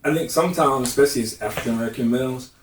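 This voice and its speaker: A man's voice: medium pitch, rapid-fire, slight background noise. A middle-aged man in a candid group discussion, giving earnest, emphatic advice. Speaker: discussion participant. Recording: roomy, distant mic.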